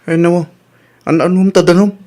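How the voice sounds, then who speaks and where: Nagging Ilocano husband, highish male voice, acted. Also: flat delivery, normal speed, darkish tone, natural voice. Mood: complaining. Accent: Ilocano Filipino. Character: nagging practical husband who insists on quality. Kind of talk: Ilocano radio comedy drama acting.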